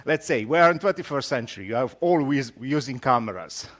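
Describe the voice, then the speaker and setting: A man from Romania with a Romanian accent gives an informal tech talk, speaking haltingly and teasing his audience with jokes. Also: mid-range voice, animated, a bit bright. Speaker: conference speaker, solution architect.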